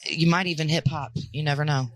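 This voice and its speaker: A woman's voice: low-pitched, steady tone, quick pace. A woman in her forties hosting a podcast, interviewing artists at a concert venue in an upbeat, blunt, teasing way. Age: 40s. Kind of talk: casual interview.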